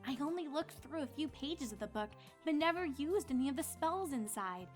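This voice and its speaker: A woman voicing an earnest, easily flustered young female pony student in a cartoon-style fan story, with a girlish, excitable delivery. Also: high voice, normal speed, faint music behind. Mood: hesitant, earnest.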